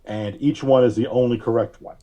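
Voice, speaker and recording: A man giving measured, carefully worded explanations as a podcast guest. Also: mid-range voice, fairly even intonation, warm tone, highs cut off. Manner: measured, deliberate, precise wording. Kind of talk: explanatory interview talk.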